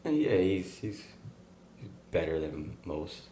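A man hosting a podcast, asking questions in a halting way, restarting them as he goes. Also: low voice, big pitch swings, dark tone. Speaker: podcast host.

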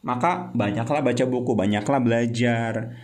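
A man's voice: medium pitch, normal speed, darkish tone. Fired-up preachy young man. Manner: impassioned, preachy, mixes English terms and Arabic phrases. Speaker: founder of a youth Islamic movement. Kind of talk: motivational talk.

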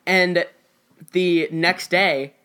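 A man's voice: high voice, steady tone, extremely slow, bright, forceful tone, clean tone. A young American man chatting casually as an actor guest on a podcast. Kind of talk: casual chat.

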